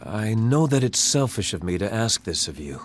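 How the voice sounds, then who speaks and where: An actor playing a calm, sincere young prince in a game cutscene, speaking in a measured, formal, soft-spoken way. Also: male voice, lowish voice, slight background noise. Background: game soundtrack music.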